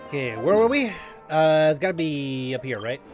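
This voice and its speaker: A man's voice: a little high, sing-song, moderate pace. A man giving casual, sweary commentary as he streams a game, reactive and exclamatory. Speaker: gaming streamer. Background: game music.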